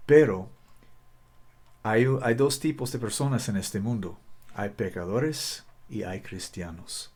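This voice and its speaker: Bible teacher, man, native English speaker, lowish voice, halting with restarts. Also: darkish tone, slightly rough. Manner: careful, halting, frequent restarts. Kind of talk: video Bible study. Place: Costa Rica.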